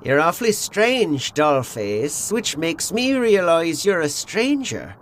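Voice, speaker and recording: Skinny crooked bookie, high voice all over the place, sly wisecracking noir radio play villain. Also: male voice, sing-song, slow pace. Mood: suspicious. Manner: wisecracking, theatrical, wheedling menace. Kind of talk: comedic noir audio play acting.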